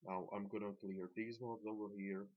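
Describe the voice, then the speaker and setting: Flat, low-pitched Slovak-accented man, dull recording. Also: normal speed. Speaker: gamer presenting a dungeon tanking guide. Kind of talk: unscripted game walkthrough commentary. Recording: dull lo-fi voice track.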